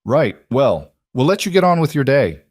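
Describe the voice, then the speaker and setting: An awkward male rancher neighbor, polite but brisk and eager to get away, put on by a man. The voice is lifted somewhat above the reader's usual tone. The recording is dry and close. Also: medium pitch, very animated, husky, no echo, voice pushed up.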